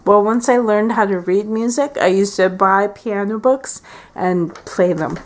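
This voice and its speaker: A woman's voice: mid-range voice, a little slow, slight background noise. A woman in her forties chatting casually at home for a vlog, hesitant, with many fillers and restarts. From Canada. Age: 40s. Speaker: beauty vlogger. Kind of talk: casual tag video chat. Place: at home by a window.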